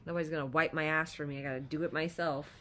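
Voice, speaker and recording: Low-voiced, profane Midwestern woman. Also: steady tone, rapid-fire, fairly bright tone, slight background noise. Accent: light Midwestern. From Wisconsin. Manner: blunt and profane, laid-back. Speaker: podcast co-host. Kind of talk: casual chat.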